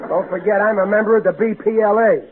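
A man's voice: high voice, fairly even intonation, fast talker, slight background noise, close to an everyday voice. An actor playing a blustering, folksy working-class family father with a Brooklyn accent in 1940s radio comedy, on a tinny recording. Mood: flustered. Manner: folksy, ungrammatical, easily flustered.

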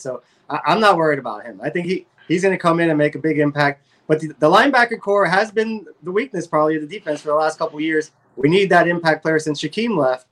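An American man chats about sports as a show panelist. His voice is a little higher than most men's and he speaks quickly. The style is casual. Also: a bit bright.

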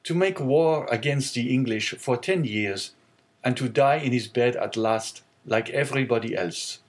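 A man's voice: medium pitch, unhurried, close to an everyday voice. A man voicing an earnest, resolute young sailor who speaks with sorrow, in an audiobook reading. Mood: melancholy.

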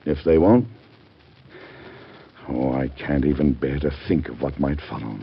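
An actor in an old-time radio drama playing a middle-aged, devout American president with a husky voice and a grave, stately, oratorical manner. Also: male voice, a bass voice, measured pace, a little hiss or hum, exaggerated voice. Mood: anguished, weary. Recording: dull vintage radio drama recording.

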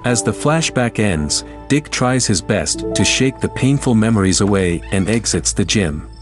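A man's voice: fairly low pitch, lively intonation, unhurried. A man giving scripted narration for an audio drama. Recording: music clearly behind voice.

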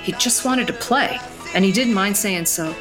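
An actress plays a reflective woman telling a story from her past in an audio drama. Her voice sits at a medium pitch, wistful in manner, with music clearly underneath. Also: moderate pace, lightly acted. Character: reflective woman recalling her youth among a band. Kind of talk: scripted first-person drama narration.

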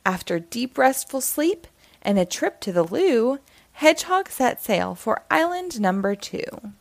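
A woman reads a children's story aloud in a fairly high, very lively voice. She takes her time over the narration, and the sound is close and dry. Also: unhurried, vocal fry, no room sound. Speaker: children's book reader. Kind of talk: expressive read-aloud storytelling.

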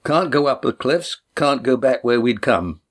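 A man voicing a cheerful young male sailor who tells a tale with rough country grammar. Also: medium pitch, measured pace, pitched above the performer's own voice. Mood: lively telling. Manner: cheerful, lively storytelling.

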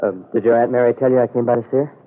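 An actor with an American accent playing an earnest, hesitant young soldier in an old radio soap opera, on a muffled vintage recording. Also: male voice, mid-range voice, fairly even intonation, rapid-fire, close to an everyday voice. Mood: earnest pleading. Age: young adult. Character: earnest young soldier pleading with the girl he loves.

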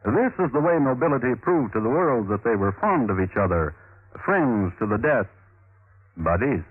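A man hosting and narrating a scripted 1950s radio crime show in a measured, wry, literary manner. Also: mid-range voice, very animated, slightly rough. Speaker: host and narrator. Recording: muffled old radio recording with music. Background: music.

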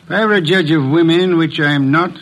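A ponderous, self-important detective in an old radio mystery. His voice sits fairly high for a man, and he grumbles in long, formal sentences, balking at being hurried. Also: fairly bright tone. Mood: pompous, dry. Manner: pompous, grumbling, formal wordy speech. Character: bulky, balky, pompous genius detective who loathes hurry. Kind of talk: 1940s-50s American radio drama acting.

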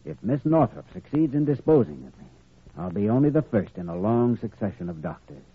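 An actor playing a determined, compassionate young doctor, firm and earnest, in 1940s radio drama style. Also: male voice, on the low side, sing-song, a little fast, slightly rough, no room sound. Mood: earnest, troubled. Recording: vintage radio recording, narrow band.

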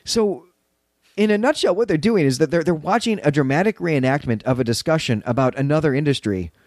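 A man's voice: on the high side, expressive, fairly quick, darkish tone, dry recording. Explanatory male podcast co-host, dry sound. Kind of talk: conversational story recap and analysis.

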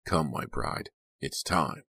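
Controlling young villain for a horror audiobook, acted by a male reader: bass-deep, hushed, softly commanding, quietly menacing. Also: slow, no room sound, exaggerated voice. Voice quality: hushed, breathy.

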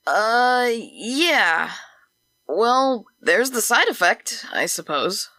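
A woman's voice: fairly high pitch, big pitch swings, drawn-out words, sharp tone, dry recording, heavily acted. A woman voicing a small, wary, sensible talking tree in a fantasy audio drama, hesitant and disapproving. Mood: hesitant, dry.

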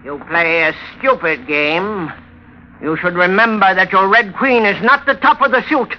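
A man's voice: sits high, normal speed, natural voice. A man playing a cold, menacing crime boss with curt, precise, threatening speech in an old radio drama. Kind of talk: old-time radio villain acting. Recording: muffled old radio recording, faint noise.

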